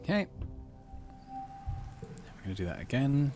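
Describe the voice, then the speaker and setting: A man's voice: mid-range voice, very animated, unhurried, over music. A man giving casual playthrough commentary on a game, thinking aloud and reading its story text out. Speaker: gaming channel host.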